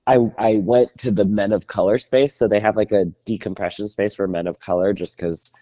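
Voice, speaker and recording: A man's voice: lowish voice, fairly even intonation, a little fast, warm tone, no echo. A man co-hosting a podcast, chatting casually and animatedly, laughing often. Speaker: podcast co-host. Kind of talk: casual chat.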